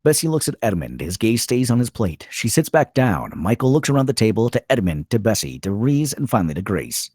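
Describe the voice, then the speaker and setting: A man reading stage directions as a narrator at a script table read, in a terse, measured dramatic style. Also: fairly low pitch, very animated, darkish tone, breathy edge, no room sound, studio quality.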